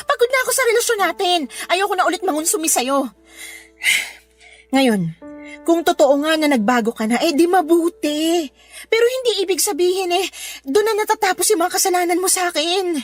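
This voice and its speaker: A high-pitched, scornful woman who has had enough of her ex. Her exasperated scolding swings widely in pitch, with faint music underneath. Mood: exasperated, angry, then hurt, weary. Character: fed-up, scornful woman rejecting her cheating ex-partner. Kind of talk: Filipino radio drama acting.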